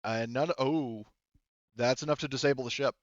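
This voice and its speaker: A man running a tabletop game casually as game master, thinking aloud haltingly as he works through rules and rolls. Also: mid-range voice, lo-fi sound. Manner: halting, thinking aloud while rolling dice.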